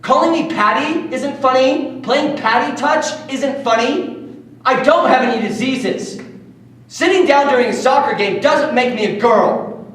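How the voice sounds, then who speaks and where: A man's voice: very high-pitched, unhurried, a bit bright. A man playing a sensitive, defiant bullied schoolboy who tells his own story as a vivid, emotive stage monologue in short bursts. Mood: hurt, indignant. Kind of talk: dramatic first-person stage monologue. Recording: distant, echoey room with faint noise.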